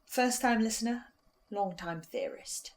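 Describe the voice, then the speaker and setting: Fairly high-voiced woman hosting. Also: a little slow. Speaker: host of a fan reaction series. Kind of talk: casual commentary.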